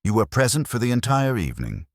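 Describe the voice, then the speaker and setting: A man's voice: medium pitch, huge pitch swings, fast, dry recording, studio quality, voice pushed up. A man voicing a courteous, methodical police inspector in a mystery story, considerate and casually probing. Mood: polite probing.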